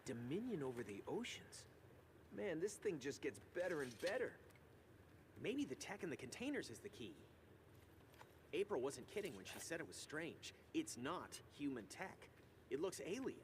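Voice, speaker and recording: A man's voice: a little high, very animated, moderate pace, slight background noise. An actor voicing a brainy, earnest young male mutant turtle in a video game, analytical in his delivery.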